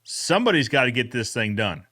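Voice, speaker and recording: A man's voice: medium pitch, very animated, brisk, pushed and bright. A man hosting a casual podcast recap, chatting in an upbeat, informal and colloquial way. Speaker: show host, fence expert.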